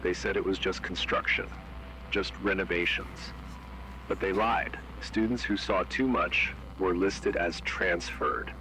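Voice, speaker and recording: A man's voice: low voice, a little slow, a little hiss or hum, low-quality recording. A man reading as a grim emergency broadcast announcer. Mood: ominous. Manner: flat, ominous, commanding warnings. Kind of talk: analog horror warning broadcast.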